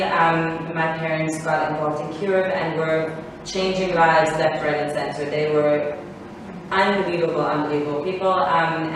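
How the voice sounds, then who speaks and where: A woman in her thirties, born in Israel and raised in South Africa, giving a rambling personal talk to parents with many fillers and restarts. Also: lowish voice. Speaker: guest speaker. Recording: echoey hall from far off, faint noise.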